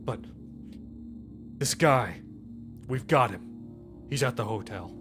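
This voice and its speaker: Male police officer played by a game master, pressing and halting, tabletop roleplay, clear music underneath. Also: mid-range voice, animated. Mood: urgent. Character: urgent male police officer calling in a lead.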